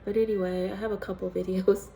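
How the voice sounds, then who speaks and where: A woman's voice: medium pitch, level tone, fast talker, gravelly, warm tone, faint music behind, low-quality recording. A woman with a cold and a stuffy, congested voice, chatting casually as a missionary telling her story, rambling with ums and little laughs. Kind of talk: casual personal testimony vlog.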